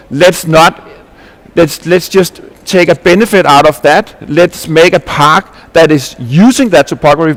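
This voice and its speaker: Male presenter, fairly high voice, earnest explainer, conference talk. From Denmark. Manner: earnest, explanatory, with frequent restarts and rhetorical questions. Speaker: landscape architect presenting at a panel.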